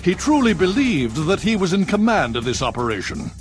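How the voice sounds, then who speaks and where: A smug, scheming official. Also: male voice, sits high, big pitch swings, brisk, faint music behind. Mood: cold. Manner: condescending, smooth. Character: cold, scheming male government official. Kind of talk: video game cutscene.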